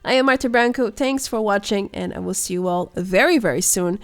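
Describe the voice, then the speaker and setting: A young woman, hoarse and sounding unwell, giving scripted voice-over commentary as a gaming news host, speculative and explanatory in a measured way. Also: medium pitch. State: hoarse, voice weakened by illness.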